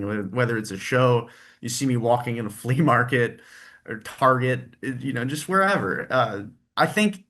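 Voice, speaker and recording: A man with an ordinary, mid-pitched voice talks casually in an interview. He is friendly and a little rambling, and he laughs easily as he explains things. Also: fairly bright tone. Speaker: guest, tribute performer and engineer.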